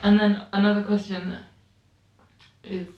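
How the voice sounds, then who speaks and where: A man's voice: high voice, flat delivery, a little slow, echoey room, distant mic, lo-fi sound. A man hosting a podcast, asking short questions and prompting his guest. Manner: brief prompting questions and murmured backchannel. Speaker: podcast host. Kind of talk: interviewing.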